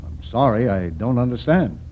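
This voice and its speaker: Actor as polite gentleman, noisy old radio. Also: medium pitch, expressive, normal speed, natural voice. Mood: puzzled. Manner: polite, formal. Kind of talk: 1940s radio drama acting. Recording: muffled, clearly noisy 1940s radio recording.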